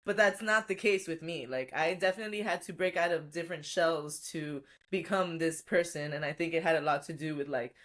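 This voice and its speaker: A woman's voice: sits low, brisk, few pauses, a bit bright. A woman podcast guest, a life coach, telling her story in a reflective, chatty way with frequent laughs. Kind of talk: casual interview storytelling.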